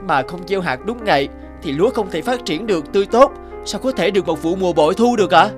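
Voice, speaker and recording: A man voicing a hardworking, determined young peasant farmer in a cartoon, earnest and expressive. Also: sits high, fast talker, over music. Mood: indignant. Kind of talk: cartoon dialogue acting.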